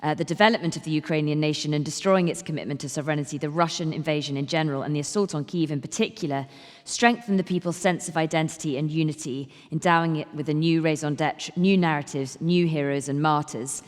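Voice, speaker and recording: A woman moderating a panel discussion, measured, reading passages aloud between questions. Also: low voice, steady tone. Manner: measured, reads quotes aloud. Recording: long hall reverb.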